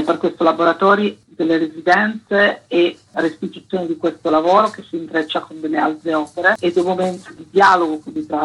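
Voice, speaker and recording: A woman speaks as a radio guest, laying out details in an explanatory way. Her voice is husky and bright, with a forceful edge. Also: on the low side, poor audio. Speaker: guest presenting an arts project. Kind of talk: informal radio interview, explaining an event programme. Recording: radio interview.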